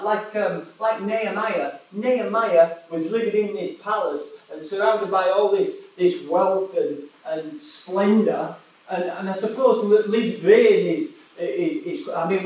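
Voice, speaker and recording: A man from West Yorkshire with a Yorkshire accent, an evangelist preaching a sermon in a chatty storytelling way, often restarting his words. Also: sits high. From West Yorkshire, England. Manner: conversational, storytelling, many restarts and repetitions. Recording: echoey hall, voice from far off.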